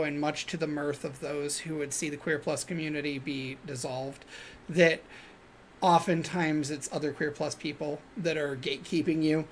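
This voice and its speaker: A man with a higher-pitched voice co-hosting a podcast in casual chat over a video call, rambling and restarting his sentences. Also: a little hiss or hum. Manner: rambling, self-interrupting, with repeated fillers. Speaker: podcast co-host.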